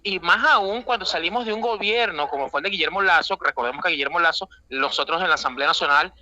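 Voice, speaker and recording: A man's voice: a little high, quick pace, bright, forceful tone, highs cut off. A man with a Venezuelan accent, an international analyst giving emphatic, rambling political commentary with many fillers, calling from an airport. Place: airport waiting area.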